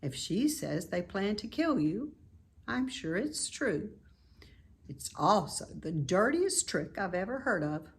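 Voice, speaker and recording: Charlotte, woman reading as a calm spider. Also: mid-range voice, takes it slowly, slight background noise. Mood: firm. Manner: sweet yet firm. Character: female spider, calm, wise and brisk.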